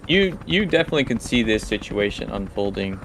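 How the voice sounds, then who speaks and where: A man's voice: on the low side, very animated, fairly quick, creaky, a bit bright, a little hiss or hum, poor audio. A man running a tabletop game as game master, narrating off the cuff in a conversational, somewhat hesitant way.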